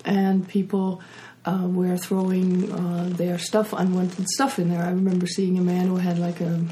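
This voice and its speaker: Female author guest, German accent, dark relaxed tone, casual storyteller, faint noise behind. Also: fairly low pitch, a little slow, rough recording.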